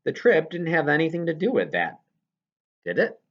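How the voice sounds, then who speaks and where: Male audiobook reader for a children's novel: lowish register, animated delivery, dull recording. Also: normal speed. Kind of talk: scripted story narration of a children's novel in first person.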